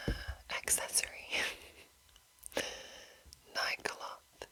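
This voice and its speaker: A woman whispering as an ASMR host, reading and commenting in a hushed, lingering manner with drawn-out phrases. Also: poor audio.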